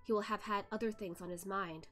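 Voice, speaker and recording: A woman voicing a reserved, formal male otherworldly judge who answers calmly and politely. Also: medium pitch, fairly quick, soft background music, close to an everyday voice. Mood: guarded. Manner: calm, guarded, polite.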